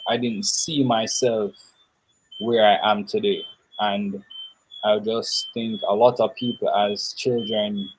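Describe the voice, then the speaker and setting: A young man, answering interview questions in a thoughtful, measured way with pauses. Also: on the low side, flat delivery, breathy edge, rough recording. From Jamaica. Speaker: interview guest.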